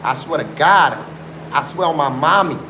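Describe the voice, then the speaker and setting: A man's voice: a little high, normal speed. Insistent man on a roomy, noisy live stream. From South Africa. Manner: insistent, swears oaths, mixes in Afrikaans. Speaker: livestreamer. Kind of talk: casual live stream chat with viewers. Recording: roomy sound with background noise.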